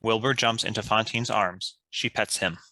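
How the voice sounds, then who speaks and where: A man's voice: lowish voice, steady tone, moderate pace, sharp tone, no echo. A man reading scripted stage directions as a narrator. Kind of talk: scripted narration of screenplay action.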